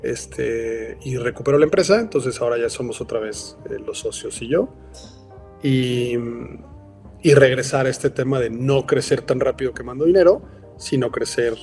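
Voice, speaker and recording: A man's voice: medium pitch, normal speed, a little mellow, soft background music. A man with a Mexican accent, a company founder speaking as a guest in a casual interview, rambling with lots of filler words. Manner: rambling, frequent "este" fillers.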